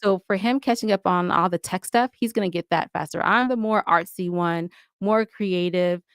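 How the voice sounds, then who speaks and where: A woman with a fairly low voice chats in a casual interview. She is candid and playful, telling things in an animated, conversational way. The sound is dry, with almost no room. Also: normal speed, clear, resonant tone, no echo. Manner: chatty, candid, animated storytelling with playful asides. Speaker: podcast guest, audio drama podcaster.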